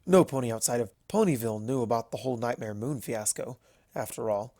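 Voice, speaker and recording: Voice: medium pitch, fairly quick, mellow, dry recording, clean studio sound. A narrator reading a scripted fantasy story aloud.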